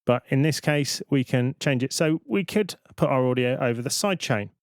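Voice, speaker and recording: A man's voice: mid-range voice, expressive, moderate pace, dry recording. Man presenting a tutorial, dry close sound. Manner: informal, step-by-step explaining. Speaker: music software tutorial presenter. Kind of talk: explanatory software demonstration.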